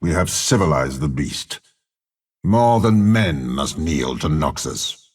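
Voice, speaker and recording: A super deep gravelly old warlord villain for a video game, cold n scheming, talks slow and menacing. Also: male voice, animated, exaggerated voice. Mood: haughty, menacing. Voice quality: gravelly, husky. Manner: measured, haughty, menacing. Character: cold, scheming older male warlord and spymaster, imperious villain. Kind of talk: video game character voice lines.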